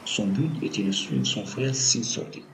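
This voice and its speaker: A man narrating a scripted audio drama as a storyteller, in measured short phrases. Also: on the low side, vocal fry, fairly bright tone, a little hiss or hum, poor audio. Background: music.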